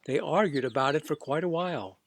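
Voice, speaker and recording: A male reader narrates a children's story. His voice is pitched a bit above the usual for a man, and he reads the scripted text expressively. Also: a little fast. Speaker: audiobook reader.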